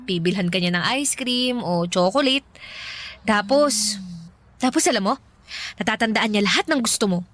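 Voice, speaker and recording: A woman's voice: mid-range voice, normal speed, pauses often, a bit bright, no room sound, lightly acted. A Filipino actress playing a playful, pushy young woman matchmaking for a friend in a radio drama. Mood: earnest persuading. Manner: giggly, teasing, persuasive.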